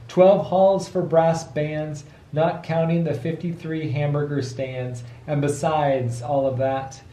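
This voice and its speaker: A man's voice: fairly high pitch, warm tone. A man reading a children's rhyming book aloud as a father would to his child, sing-song with patient asides, picked up by a camera microphone a little way off. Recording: roomy echo, distant camera microphone.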